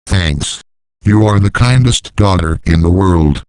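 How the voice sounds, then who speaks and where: A storyteller voicing a farmer father from a folk tale, speaking in a plain, deliberate way. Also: low-pitched, lively intonation, clean tone, dry recording, voice pushed down. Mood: grateful. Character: anxious, grateful old-time farmer father.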